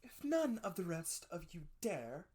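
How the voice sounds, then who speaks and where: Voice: mid-range voice, very animated, measured pace, darkish tone, breathy edge, pitched above the performer's own voice. A storybook reader voicing a widowed innkeeper mother, defiant and scolding, with an urgent, emphatic manner. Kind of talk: dramatic storybook reading.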